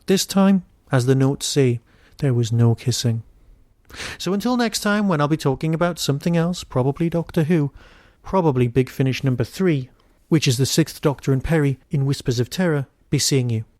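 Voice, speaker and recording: A man hosting a podcast, giving an informal solo review in a wry, digressive way with emphatic pauses. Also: a little high, darkish tone, dry recording, studio quality.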